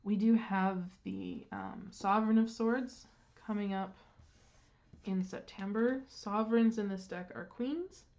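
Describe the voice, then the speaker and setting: Woman tarot reader, stuffed up and throat-clearing, meandering delivery with lots of ums, slightly dull recording. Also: mid-range voice, steady tone, a little mellow. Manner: unhurried, with frequent ums and restarts. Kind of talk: casual card reading.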